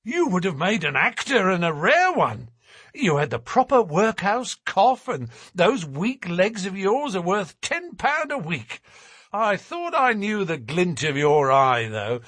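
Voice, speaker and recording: A man's voice: sits high, very animated, a little slow, a bit bright, slightly rough, dry recording, pitched above the performer's own voice, exaggerated voice. A man voicing a bluff, hearty middle-aged police detective.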